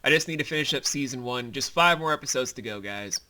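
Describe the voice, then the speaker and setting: A man's voice: medium pitch, fast, bright, forceful tone. A man giving casual behind-the-scenes commentary as creator and host, informal and emphatic, with some swearing.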